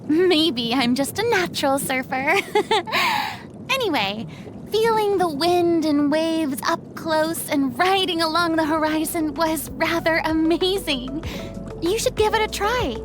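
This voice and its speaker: An actress playing a proud yet vulnerable young female celebrity in a video game, with dramatic, refined, expressive delivery. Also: very high-pitched. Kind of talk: scripted game character voice lines.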